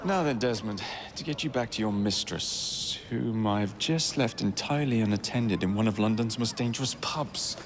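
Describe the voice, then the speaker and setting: A man's voice: on the low side, moderate pace, few pauses, background noise, natural voice. An actor playing a cocky, roguish young Victorian man in a video game, with an English accent and a wry, cheeky, polite manner. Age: young adult. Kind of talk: video game cutscene acting.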